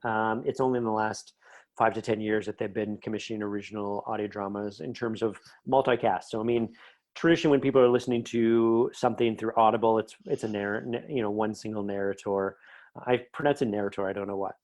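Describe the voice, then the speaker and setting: Low-pitched man, chatty interview guest. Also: fairly even intonation, quick pace, a little mellow. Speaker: interview guest, writer and podcast creator. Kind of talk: conversational interview, anecdotes about work.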